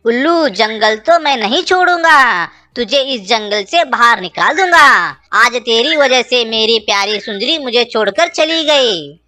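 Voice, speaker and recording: A woman's voice: a little high, lively intonation, a little slow, few pauses, bright, forceful tone. A woman voicing a hapless, henpecked male crow husband in a children's cartoon, pleading and melodramatic. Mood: angry, threatening. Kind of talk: children's cartoon story dialogue.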